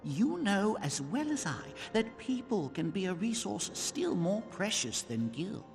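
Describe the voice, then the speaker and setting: Courtly wealthy lord, animated range, theatrical, principled, formal, fantasy game. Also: male voice, high-pitched, unhurried, gravelly, full character voice. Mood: diplomatic. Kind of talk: scripted fantasy game voice acting. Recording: long hall reverb, music underneath.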